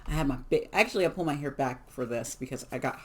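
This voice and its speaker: A card reader giving a casual collective reading, hoarse from losing their voice, talking in an emphatic, encouraging way with many fillers. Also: medium pitch, quick pace, fairly bright tone. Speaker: tarot and oracle card reader.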